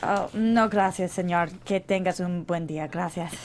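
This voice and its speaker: A woman playing an excited, playful, free-spirited sister in her late twenties at a script table read. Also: lowish voice, normal speed, a little hiss or hum, natural voice. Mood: cheerful.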